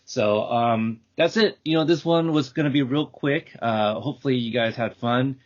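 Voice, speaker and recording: A man hosting a podcast, talking casually and off the cuff in a halting, rambling way. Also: medium pitch. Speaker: podcast host. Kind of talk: casual, unscripted show wrap-up. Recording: dull, lo-fi call audio.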